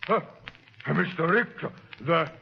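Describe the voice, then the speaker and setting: Terrified henchman, slow stammering delivery, gravelly, vintage radio serial. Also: fairly high pitch. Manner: stammering, halting. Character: frightened superstitious henchman, a brutish servant. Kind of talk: 1930s radio acting. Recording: dull old 1930s radio recording.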